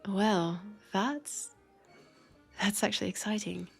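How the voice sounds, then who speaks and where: A woman with a French accent voicing a wry, centuries-old vampire detective in an intimate audio roleplay, husky and creaky, talking in an unhurried, conversational way. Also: mid-range voice, faint music behind, exaggerated voice. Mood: surprised, excited. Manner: unhurried, conversational, with pauses and sighs.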